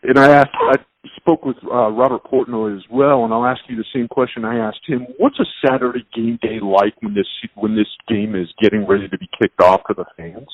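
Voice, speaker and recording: A man's voice: mid-range voice, steady tone, brisk, no echo, narrow, tinny audio. A man hosting a sports show, interviewing a guest in a casual, conversational style.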